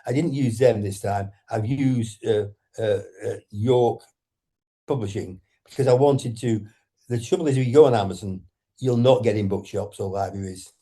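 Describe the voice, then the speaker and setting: An older man in his eighties with a northern English accent. He speaks as a guest author in a casual interview, pausing often with ums and restarts. His voice is low and mellow. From Lancashire, England. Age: 80s. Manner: hesitant, many ums and restarts.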